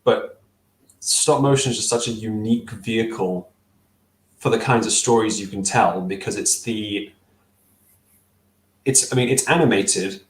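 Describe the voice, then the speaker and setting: A man's voice: lowish voice, unhurried, long pauses, echoey room, poor audio. A young man on a video call with a headset, answering interview questions casually, thoughtful and hesitant with many fillers and restarts. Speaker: stop-motion filmmaker guest. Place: small room at home.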